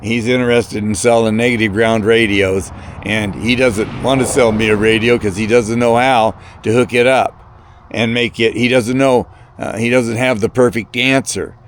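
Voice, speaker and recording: A man talking casually about his hobby in a rambling, hesitant way, halting and repeating words as he goes. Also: mid-range voice, steady tone, slight background noise. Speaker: hobbyist video presenter.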